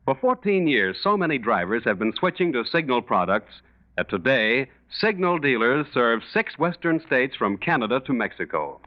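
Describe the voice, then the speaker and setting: A man's voice: mid-range voice, sing-song, moderate pace. A male radio announcer delivering a polished, emphatic scripted sponsor message in 1940s style. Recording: dull lo-fi 1940s radio recording.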